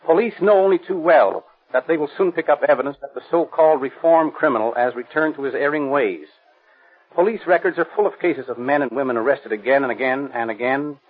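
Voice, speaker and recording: A man's voice: mid-range voice, a little fast, close to an everyday voice. A man playing a police captain in a 1930s radio program, giving a grave, lecturing talk. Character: stern middle-aged male police captain. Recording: tinny lo-fi old radio recording.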